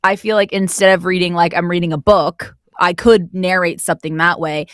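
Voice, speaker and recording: A woman's voice: on the low side, fairly even intonation, fairly quick, no echo, studio quality. A woman speaking casually as an interview guest who narrates audio drama, explaining things in a reflective way.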